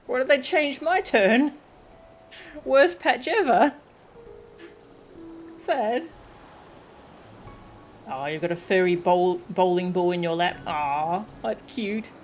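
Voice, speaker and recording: A woman giving casual, expressive live commentary as she streams a game, reacting with playful indignation. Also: medium pitch, takes it slowly, pauses often. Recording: game music behind the voice. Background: game music and character voice lines.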